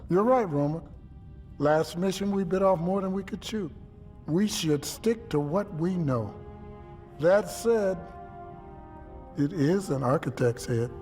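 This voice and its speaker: Careful outlaw boss in a game, highish voice, weighing things up, faint music. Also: male voice, expressive, takes it slowly, long pauses, a little mellow. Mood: cautious, musing. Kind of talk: video game cutscene acting.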